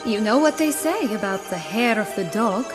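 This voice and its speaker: A woman's voice: medium pitch, lively intonation, moderate pace, lightly acted. Woman playing sly, haughty banished young duchess. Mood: wry, teasing. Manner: wry, commanding, teasing. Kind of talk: video game voice acting. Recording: music behind the voice.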